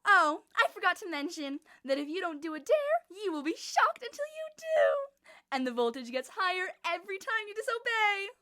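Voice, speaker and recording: A woman's voice: extremely high pitch, sing-song, normal speed, pushed and bright, voice pushed up, exaggerated voice. A woman voicing a gleeful, sadistic male bear mascot in a fan fiction reading, smug and sing-song. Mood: gloating.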